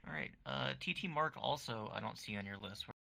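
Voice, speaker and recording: A man's voice: on the low side, a little fast, gravelly, bright, forceful tone, a little hiss or hum. A man interviewing contestants in a casual online show, asking playful, teasing questions on a desktop mic.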